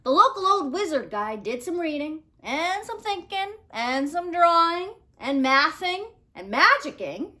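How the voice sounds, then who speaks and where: A woman reading a picture book aloud to children in an expressive, theatrical way with deliberate phrasing. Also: extremely high pitch. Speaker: storybook reader.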